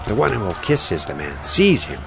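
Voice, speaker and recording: A sneaky traitor, croaky voice, pitch jumps all over, bible drama w music and crowd noise. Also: male voice, huge pitch swings, fairly quick, heavily acted. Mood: conspiring, urgent. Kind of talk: dramatized scripture acting.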